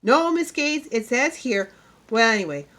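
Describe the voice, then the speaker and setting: A woman voicing an earnest young schoolboy in an audiobook, reciting loudly and haltingly in a folksy way. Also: a little high, very animated, voice pushed up. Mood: earnest, eager.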